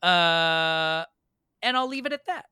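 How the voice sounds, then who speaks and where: A man's voice: high-pitched, expressive, takes it slowly, pushed and bright, clean tone, no echo, studio quality. An American man chatting casually as a podcast guest, emphatic and prone to repeating words. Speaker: podcast guest, theatre performer.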